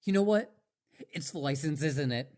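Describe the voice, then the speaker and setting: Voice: mid-range voice, lively intonation, normal speed, dark tone, natural voice. A performer voicing an obsessive, devoted young male wolf boy in a roleplay audio drama, stammering and eager. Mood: indignant, determined.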